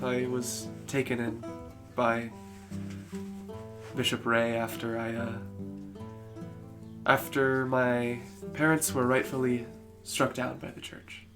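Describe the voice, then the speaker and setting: A player speaking in character during a tabletop game, hesitant and polite. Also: mid-range voice. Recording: background music.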